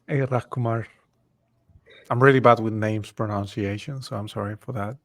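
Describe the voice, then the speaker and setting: Relaxed male co-host from Ecuador, chatty and welcoming on an online call. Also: lowish voice, normal speed. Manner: easygoing, greets attendees by name. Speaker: webinar co-host. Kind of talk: live webinar, casual chat.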